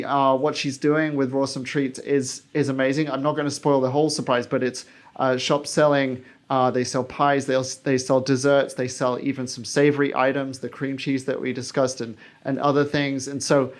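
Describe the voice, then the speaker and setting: A man hosting a podcast, interviewing a guest in a conversational, enthusiastic manner. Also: mid-range voice. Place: small basement room on an indoor farm.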